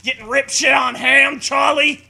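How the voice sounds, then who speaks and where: A man's voice: very high voice, fairly even intonation, unhurried, gravelly, sharp tone. A man making casual, sweary, mocking comic remarks as a co-host. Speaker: co-host heckling the reading.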